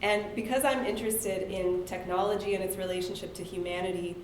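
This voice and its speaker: A woman's voice: mid-range voice, steady tone, a little fast, reverberant, a little hiss or hum, poor audio. A woman cartoonist speaking to an audience at a reading, losing her voice and talking in a chatty, self-deprecating way with filler words. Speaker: cartoonist and author giving a talk. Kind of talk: casual public talk to an audience. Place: university event hall.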